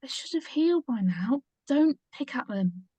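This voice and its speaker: A woman performs an uncomfortable mother of about sixty who nags and fusses. Her voice sits on the high side, with a very dry sound. The delivery is that of a drama script read at the table. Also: animated, moderate pace, mellow, slightly rough, no room sound, muffled recording. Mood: chiding. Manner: fussy, chiding.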